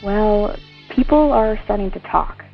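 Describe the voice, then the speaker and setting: An actress playing a gossipy hospital nurse in an audio soap opera, confiding and tentative in manner. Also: female voice, medium pitch, expressive, measured pace, vocal fry, highs cut off, soft background music.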